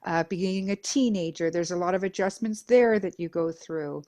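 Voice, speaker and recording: A middle-aged woman from Denmark talks earnestly as an interview guest, her voice sitting a bit low. She builds long lists of repeated phrases, with pauses and fillers along the way. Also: dark tone. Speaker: guest, Reiki master and life coach. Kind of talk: reflective personal interview.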